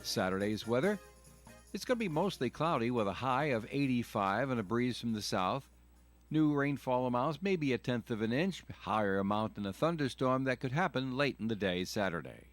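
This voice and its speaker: A man's voice: mid-range voice, animated, moderate pace, dry recording, faint music behind. A man reading a weather forecast, stressing each day's name.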